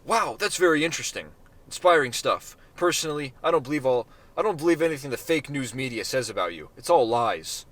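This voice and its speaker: A man voicing a blonde woman, a flattering and overly eager stranger, in a storybook reading. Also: mid-range voice, a little fast, lightly acted.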